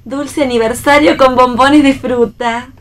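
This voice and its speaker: An actress voices a young Argentine wife in a read stage play. Her voice sits on the high side, and she speaks in playful, teasing banter with her husband. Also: fairly even intonation, measured pace.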